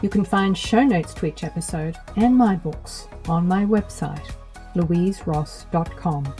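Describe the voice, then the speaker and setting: A middle-aged woman with an Australian accent, hosting her own podcast in a measured, earnest scripted narration. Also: lowish voice, warm tone, soft background music. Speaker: podcast host.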